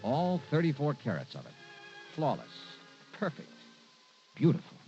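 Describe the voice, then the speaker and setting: An actor playing a wry, confident hardboiled insurance investigator in old-time radio noir. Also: male voice, fairly high pitch, wildly swooping pitch, moderate pace. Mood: wry narration. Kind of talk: 1950s radio noir acting and narration. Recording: dull vintage radio recording, faint music.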